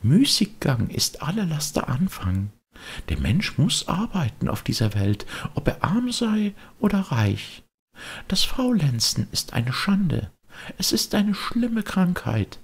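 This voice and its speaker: A man voicing a gentle, motherly fairy, kind but admonishing, in a measured audiobook character voice. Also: fairly high pitch, very animated, slightly rough, pitched above the performer's own voice, exaggerated voice.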